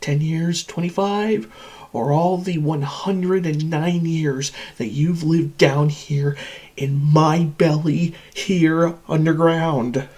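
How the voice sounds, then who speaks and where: A man's voice: fairly high pitch, slightly rough. A man voicing an insane, sadistic supercomputer that hates its human captives, in a theatrical and menacing villain monologue. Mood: mocking cruelty. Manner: theatrical, menacing, swinging from whispers to screams.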